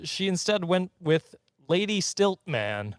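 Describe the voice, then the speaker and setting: A man's voice: high-pitched, sing-song, slow pace, no room sound, studio quality. A man hosting a casual comedy podcast, telling trivia and bantering in a jokey, chuckly way. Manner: jokey, often chuckling as he talks. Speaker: podcast host.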